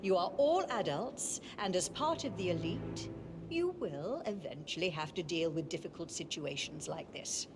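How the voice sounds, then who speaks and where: A woman's voice: fairly low pitch, lively intonation, moderate pace. A woman playing a cold, commanding elderly matriarch of an elite family in a video game, speaking in a curt, formal way. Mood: stern, composed. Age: older. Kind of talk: cinematic video game acting. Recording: music behind the voice.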